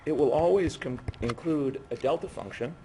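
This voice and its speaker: A man giving a physics lecture while losing his voice, speaking haltingly and often breaking off mid-phrase. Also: a little high, dark tone. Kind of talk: university lecture at a board. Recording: noisy classroom recording.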